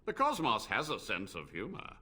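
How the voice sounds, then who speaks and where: Imperious ancient mage, sharp and theatrical. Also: male voice, lowish voice, wildly swooping pitch, normal speed, breathy edge, exaggerated voice. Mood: wry, reminiscing. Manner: imperious, archaic formal phrasing. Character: frail, ancient, haughty mage obsessed with forbidden research. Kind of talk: fantasy video game acting.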